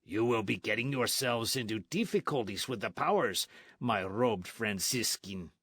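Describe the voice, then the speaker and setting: Gruff blustering sergeant voiced by a man, loud demanding tone, dry close sound. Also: on the low side, moderate pace, dry recording. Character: gruff blustering army sergeant, bold and rough.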